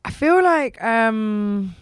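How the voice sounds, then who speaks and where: Chatty woman w a husky rough voice, kinda hoarse, smooth and dry sound, lots of "like". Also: on the high side, animated, no room sound. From England. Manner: chatty, repeats "like", quick restarts. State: hoarse from heavy use. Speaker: singer, guest. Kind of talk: casual interview chat.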